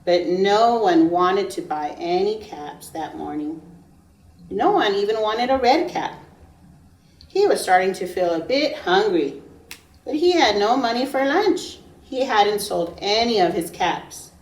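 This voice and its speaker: A woman's voice: mid-range voice, expressive, measured pace, a little mellow. A woman preschool teacher reading a story aloud to young children, with emphatic phrasing and questions for her listeners. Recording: roomy echo, distant microphone.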